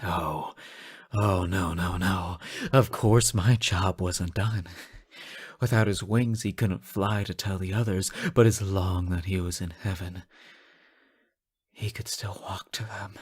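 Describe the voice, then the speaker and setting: A man playing an obsessive, devoted fallen angel in an intimate, theatrical audio roleplay, halting and swinging between hushed murmurs and impassioned outbursts. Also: deep voice, steady tone, slightly rough, heavily acted. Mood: menacing, confessional. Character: obsessive yandere fallen angel, male, devoted, unhinged lover.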